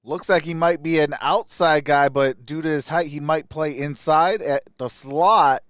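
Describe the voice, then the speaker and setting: Clear-voiced guy hosting a casual sports stream. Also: a little high, measured pace, fairly bright tone, no echo, clean studio sound. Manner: informal, addresses viewers as y'all. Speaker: live stream sports host. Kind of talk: casual live-stream draft commentary.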